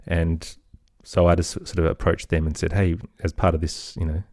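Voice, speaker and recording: Middle-aged male podcast guest, very low register, close dry sound, hesitant and conversational. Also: little pitch movement, normal speed, darkish tone, no echo. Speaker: podcast guest, audio storyteller and producer. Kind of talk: casual interview conversation.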